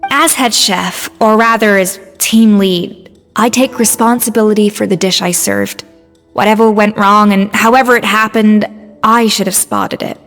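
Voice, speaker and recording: An actress voicing a composed adult female head chef in a video game, speaking in a calm, measured and firm way. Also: medium pitch, long reverb tail, faint music behind, close to an everyday voice.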